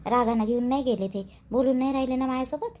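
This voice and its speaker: A woman's voice: high voice, fast, dark tone, natural voice. A woman playing a dutiful young village wife in a cartoon, plaintive and rambling, with a Vidarbha Marathi accent. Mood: pleading. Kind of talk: homely cartoon drama dialogue.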